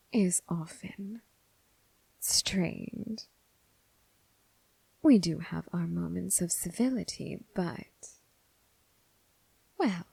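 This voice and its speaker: A woman acts out a predatory female mob boss for an ASMR roleplay. Her voice is low and creaky, with a husky breath to it. She speaks very slowly and deliberately, teasing with cool, purring condescension. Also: darkish tone, no echo, full character voice, rough recording. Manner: slow, deliberate, purring condescension with drawn-out pauses. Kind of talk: ASMR roleplay, scripted character acting.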